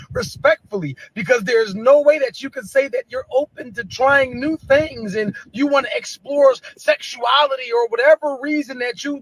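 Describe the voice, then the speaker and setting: A man's voice: very high voice, a bit bright, slightly rough, no room sound, rough recording. A man lecturing bluntly in a clip from social media.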